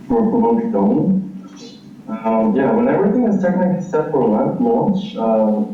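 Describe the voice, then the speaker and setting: A man's voice: mid-range voice, far from the mic, a little hiss or hum. A man speaking remotely on a panel through his laptop microphone, talking casually and hesitantly with many fillers. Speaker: panel speaker from a game publisher. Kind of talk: casual panel talk over a call. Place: remote, heard through a video call. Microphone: built-in laptop microphone. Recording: phone-like call audio in an echoey hall.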